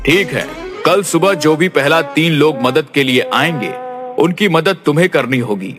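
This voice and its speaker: A man voicing a stern but fair king who gives judgments in a cartoon story, speaking in a measured, commanding way. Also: on the high side, lively intonation, music in the background. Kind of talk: dubbed cartoon acting.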